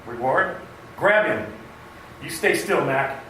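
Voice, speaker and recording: Eager, rambling radio-play policeman, male actor, slightly high voice, very echoey distant recording. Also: lively intonation, slow, pushed and bright, full character voice. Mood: wary. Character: excitable, talkative policeman and would-be playwright. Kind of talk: amateur radio play acting. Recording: distant, very echoey room with noise.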